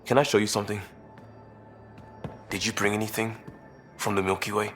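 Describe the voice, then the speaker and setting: A man's voice: on the low side, flat delivery, a little fast, fairly bright tone, close to an everyday voice. An actor playing an idealistic, restless young British crewman in a video game, speaking in clipped informal phrases. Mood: sentimental. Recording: game dialogue over faint music.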